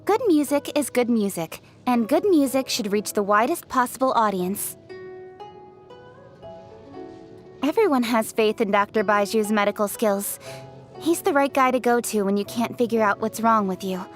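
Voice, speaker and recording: A woman's voice: on the high side, normal speed, soft background music, natural voice. A woman voicing a confident, opinionated young official in a fantasy video game, articulate and frank. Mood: brisk, opinionated. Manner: articulate, self-assured, frank. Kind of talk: scripted video game character voice lines.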